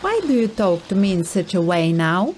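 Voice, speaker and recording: A woman's voice: medium pitch, big pitch swings, moderate pace, a little mellow, a little hiss or hum, lightly acted. Woman playing a proud, feisty fantasy mother. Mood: complaining. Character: proud, feisty mother newly turned fighter. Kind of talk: audiobook drama acting.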